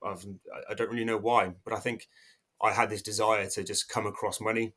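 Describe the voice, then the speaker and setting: A man in his thirties with an English accent speaks in a fairly low voice. He talks as a podcast guest, casual and hesitant, often restarting his sentences and filling pauses. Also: little pitch movement, bright, forceful tone. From South Africa. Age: 30s. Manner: hesitant, many restarts and fillers. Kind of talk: casual interview talk.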